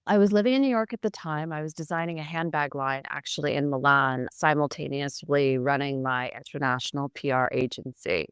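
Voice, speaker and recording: A middle-aged American woman from near Boston, a guest telling her story in a chatty, animated way with occasional laughs. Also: deep voice, a little fast, few pauses, clean tone, no room sound, studio quality. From United States, Boston area. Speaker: guest, founder. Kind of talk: casual interview.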